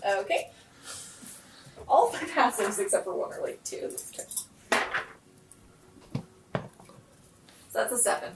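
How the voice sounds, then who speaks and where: A woman's voice: a little high, very animated, takes it slowly, gravelly, pauses often, a little hiss or hum. Woman game player, roomy distant sound. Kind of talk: casual game-table chat.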